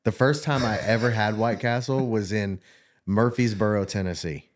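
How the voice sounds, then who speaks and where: A man's voice: fairly low pitch, normal speed. A man from Kansas hosting a trades podcast, chatting in a loose, rambling way with jokey asides. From Kansas, USA. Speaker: podcast host. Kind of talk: casual interview chat.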